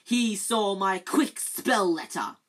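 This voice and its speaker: A bitter, hysterical old caretaker shrieks in a choked, raised voice, as a woman puts him on. Husky underneath, he sounds close to tears. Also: deep voice, takes it slowly.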